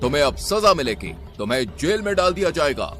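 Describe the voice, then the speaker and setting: A man voicing an angry, commanding lion king in an animated fable, accusing and authoritative. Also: fairly high pitch, fairly quick, faint music behind. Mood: angry, sentencing.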